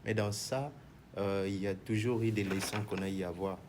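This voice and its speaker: A man's voice: fairly low pitch, fairly even intonation, normal speed, noisy background. A man, a manager and minister answering in a TV talk show interview, hesitant and mixing in Lingala. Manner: hesitant, many restarts, mixes in Lingala.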